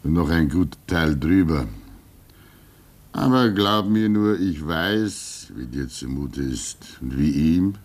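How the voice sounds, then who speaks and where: A man in his sixties playing a weary, pious old keeper at a polar station in a radio play, speaking wistfully with soft laughs. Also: extremely low pitch, animated, slow pace, full character voice. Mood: reflective. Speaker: actor.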